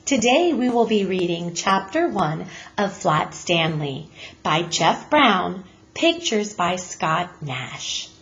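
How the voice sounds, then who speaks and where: Teacher lady reading a kids story, slow and very expressive. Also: lowish voice, lo-fi sound. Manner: expressive, deliberate. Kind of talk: children's story read-aloud.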